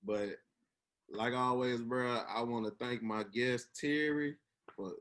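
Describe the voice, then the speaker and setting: A man's voice: medium pitch, steady tone, takes it slowly, a bit bright. A man in his twenties with a Memphis Southern accent, hosting a casual podcast chat in an earnest, encouraging, slangy way. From Memphis, United States. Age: 20s. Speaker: podcast host.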